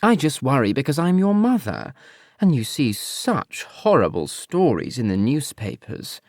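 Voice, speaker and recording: A man reading an audiobook and voicing a genteel, fussing older mother, slow and cloying. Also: mid-range voice, big pitch swings, a little mellow, no room sound, clean studio sound.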